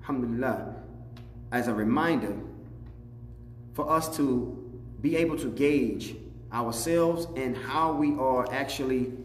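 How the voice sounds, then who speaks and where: A middle-aged man, an imam, giving a religious teaching address in a deliberate, explanatory way, repeating phrases for emphasis. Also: medium pitch. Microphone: lapel mic. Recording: echoey room, reverb, faint background noise.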